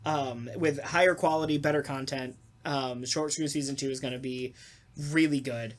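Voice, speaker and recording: A podcast host talking casually in an animated, sarcastic way, with some swearing. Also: mid-range voice, normal speed. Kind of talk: casual talkback chat.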